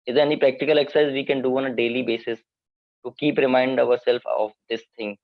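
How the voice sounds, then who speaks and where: An Indian man with a mid-pitched voice politely asks a question from the audience. He sounds thin and phone-like, with no room echo. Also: fairly even intonation, fast.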